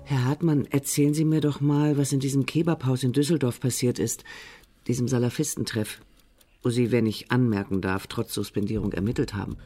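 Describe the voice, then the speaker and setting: Actor as a calm, probing male therapist. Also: medium pitch, soft background music, close to an everyday voice. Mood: dryly challenging. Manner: measured, dryly challenging. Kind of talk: naturalistic German radio drama acting.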